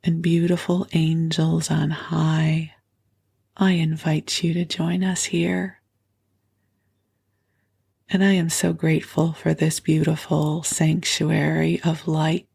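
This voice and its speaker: A woman hosting a bedtime meditation with a froggy morning voice, speaking softly and gently and often dropping to a whisper. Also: low voice, flat delivery, slow, vocal fry, husky. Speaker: podcast host. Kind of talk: soothing bedtime meditation and prayer.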